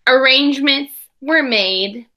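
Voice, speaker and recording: A woman doing an expressive, dramatic children's read-aloud, pausing with asides to explain things simply like a teacher. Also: high-pitched, lo-fi sound. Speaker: teacher reading a picture book to young pupils.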